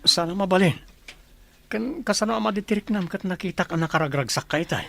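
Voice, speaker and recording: A man's voice: sits high, quick pace, creaky, bright, forceful tone, heavily acted. A man acting a plain-spoken young village suitor in an Ilocano radio comedy drama, matter-of-fact, with an Ilocano accent. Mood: firm.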